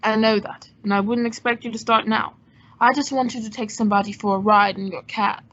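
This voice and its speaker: Lady acting as cool sharp adventurer sleuth guy, old radio play, highish slightly rough voice, dull lo-fi sound. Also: fairly even intonation, a little fast. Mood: wry, cool. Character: Simon Templar, cool, quick-witted male adventurer sleuth. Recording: dull lo-fi audio.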